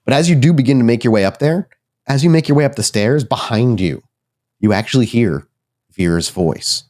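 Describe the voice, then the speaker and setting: A man's voice: mid-range voice, animated, normal speed, darkish tone. A man narrating a tabletop horror game as game master, building suspense in short, broken phrases.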